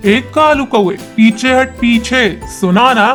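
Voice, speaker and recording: A woman plays a tough hawk hero in a children's cartoon. The voice is somewhat high and commanding, as a protector of the weak. Light music sits behind it. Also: slow. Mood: threatening. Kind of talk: children's cartoon story dialogue.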